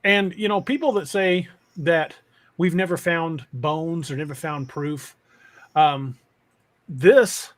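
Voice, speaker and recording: Man, fairly high voice, podcast host, casual livestream talk, comment reading. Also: slow pace.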